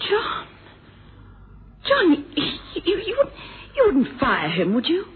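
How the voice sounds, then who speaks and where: An actress playing an anxious, gracious wife in a radio mystery drama, with an emotive, expressive delivery. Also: female voice, high-pitched, slow, heavily acted. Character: anxious, gracious wife, stepmother of grown son. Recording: noisy, phone-like old radio recording.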